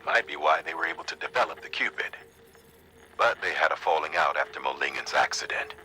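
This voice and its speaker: An actor playing an earnest, grave official in a video game, explaining things in a measured, conversational, persuasive way. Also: male voice, a bass voice, lively intonation, pushed and bright, a little hiss or hum, exaggerated voice, poor audio. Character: grave male second-in-command briefing an agent, earnest, folksy. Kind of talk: dramatic video game exposition.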